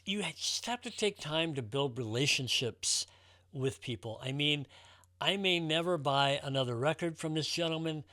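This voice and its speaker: A man's voice: on the high side, normal speed, sharp tone, no echo, studio quality. A man talking casually and enthusiastically to viewers as a collector presenting his show.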